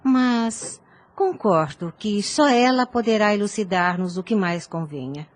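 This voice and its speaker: A woman's voice: medium pitch, animated, lightly acted. An actress playing a caring, composed middle-aged mother in a radio drama, speaking formally. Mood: concerned, composed. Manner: formal, measured.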